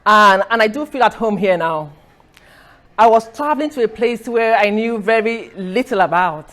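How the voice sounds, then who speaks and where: A woman's voice: mid-range voice, lively intonation, normal speed, fairly bright tone. A middle-aged woman from Nigeria giving an earnest personal talk at an event, telling her story with restarts and light laughs. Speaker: speaker. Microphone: recorded for a podcast.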